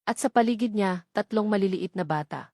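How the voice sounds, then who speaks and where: A woman's voice: mid-range voice, fairly quick, mellow, no room sound. Filipino woman narrating romance, dry recording. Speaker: audiobook narrator. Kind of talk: scripted dramatic romance story narration.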